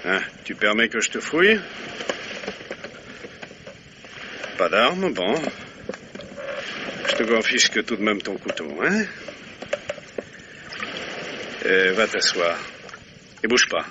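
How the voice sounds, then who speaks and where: An actor playing a dry, ironic middle-aged police commissioner in a 1950s radio drama. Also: male voice, lowish voice, unhurried, pauses often, noisy background. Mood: stern threat. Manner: dry, ironic, calm authority. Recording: muffled old radio recording.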